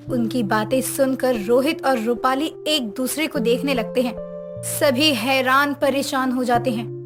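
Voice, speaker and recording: A woman reading scripted story narration as a narrator. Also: high voice. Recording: music under voice.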